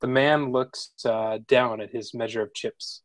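A man's voice: medium pitch, steady tone, measured pace, no room sound. A man reading out the action lines of a film script as narrator at a table read.

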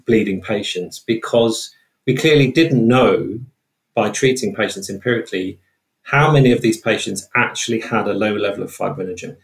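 A British man explaining research as an interview guest in a measured, careful way. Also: fairly low pitch. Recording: roomy, echoey sound.

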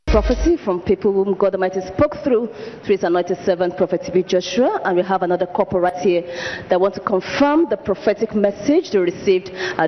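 A man conducts a church interview, firing off brisk, prompting questions. His voice sits high and moves quickly. The sound is dull and muffled, with a long echo from a large hall. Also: slight background noise. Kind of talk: testimony interview. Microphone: handheld mic.

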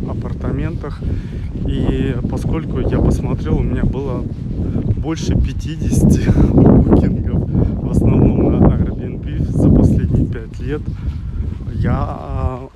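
A man around forty talking as a travel vlogger while walking outdoors, pausing mid-sentence and grumbling frankly. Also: medium pitch. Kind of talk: walking vlog monologue to camera. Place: outdoors on a resort town street. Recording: windy outdoor street noise, loud.

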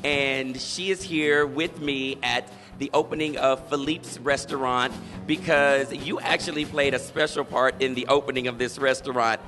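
A man's voice: mid-range voice, steady tone, normal speed, over music. A man hosting an interview at a restaurant opening, upbeat and chatty, laughing often. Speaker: host, interviewer. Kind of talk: casual interview. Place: busy restaurant interior during opening event. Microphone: handheld mic.

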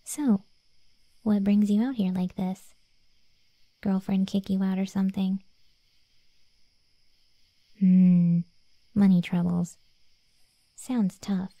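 Woman doing a cheeky zombie catgirl for asmr roleplay, very mellow n breathy, unhurried, teasing. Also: medium pitch, dry recording. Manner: soft and breathy, often near whispering, slangy, teasing. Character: cocky, cheeky undead cat girl, cute and annoying.

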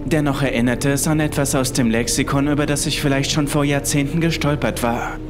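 A man's voice: mid-range voice, fairly even intonation, fairly quick. A man reading a scripted first-person horror story as its narrator. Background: music.

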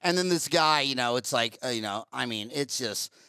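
An older man hosting an interview podcast on a headset mic, talking in an animated, ranting way and repeating himself. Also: mid-range voice, moderate pace, smooth, no room sound, studio quality. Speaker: podcast host. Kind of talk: casual interview chat. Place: home studio with wood-panel wall.

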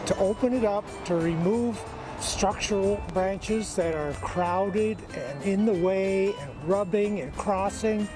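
Male American educator, notably high voice, folksy plain-spoken how-to delivery, music underneath. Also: takes it slowly. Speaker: extension educator, presenter. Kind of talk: instructional demonstration.

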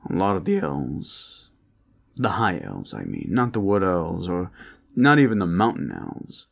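A man playing a weary, serious and cautious protector in an audio roleplay, speaking in a measured, earnest way. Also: sits low, sing-song, gravelly, heavily acted. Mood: cautious, reassuring.

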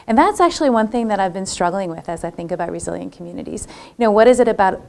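Gravelly-voiced woman panelist, reflective. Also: medium pitch, lively intonation, racing. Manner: reflective, personal, thoughtful. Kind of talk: panel discussion. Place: lecture room with audience.

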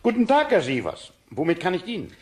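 An actor playing an excitable, amused, obliging male shopkeeper in a radio drama, with exaggerated delivery. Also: high voice, a little fast. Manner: exaggerated, animated.

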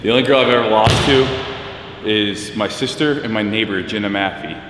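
A man's voice: lowish voice, a little fast, recorded from a distance. An American man in his thirties chatting casually, with a teasing, competitive manner. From United States. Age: 30s. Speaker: fitness vlogger. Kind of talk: casual chat. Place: large indoor gym basketball court. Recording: echoey gym hall, noisy, bouncing balls.

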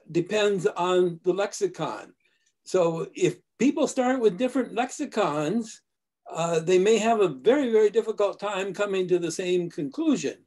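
An older man speaking on a video call from his study, his voice sitting notably high for a man. He explains in a measured, lecturing way, pausing and restarting as he builds his argument. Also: a little mellow. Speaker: discussion group member. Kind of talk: casual academic discussion.

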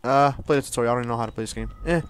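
A man riffs on a video game as he plays it, joking and laughing at himself. His voice sits in a middling register and lurches from loud excited squeals down to quiet mumbles. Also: mid-range voice, very fast, clean tone. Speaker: gameplay streamer. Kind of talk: casual let's-play commentary.

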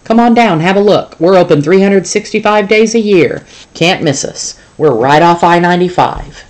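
An audiobook reader voicing a loud, greedy middle-aged mall boss who talks like a pushy salesman. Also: mid-range voice, animated, normal speed, a little hiss or hum, voice pushed up, lightly acted. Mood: brash, salesy. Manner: brash, wheeling-dealing salesman talk. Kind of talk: audiobook character voice.

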